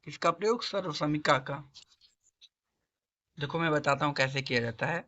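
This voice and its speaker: A hoarse man with a sore throat teaching a math lesson, coaxing students along and asking and answering his own questions. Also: a little high, moderate pace, sharp tone, highs cut off. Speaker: math tutor. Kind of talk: classroom-style math lesson.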